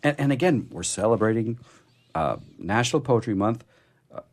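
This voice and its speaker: A man's voice: fairly low pitch, lively intonation, moderate pace. A man hosting a radio show in casual discussion, often repeating his words and phrases.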